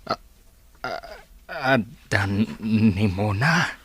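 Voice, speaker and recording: A man's voice: fairly low pitch, extremely slow, fairly bright tone, breathy edge, no room sound, full character voice. An actor playing an ailing, weary father in a radio drama, stammering through broken words with an Ilocano accent. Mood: distressed, struggling.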